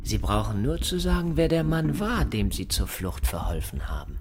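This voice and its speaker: An actor playing a coldly coercive Gestapo interrogator, falsely polite and insinuating, in a radio play. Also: male voice, medium pitch, big pitch swings, moderate pace, background noise, natural voice. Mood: quietly menacing. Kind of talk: German radio play acting.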